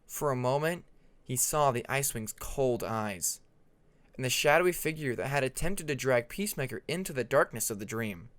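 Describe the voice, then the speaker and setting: A man's voice: mid-range voice, expressive, normal speed, a bit bright. A man reading scripted fantasy fiction as an audiobook narrator.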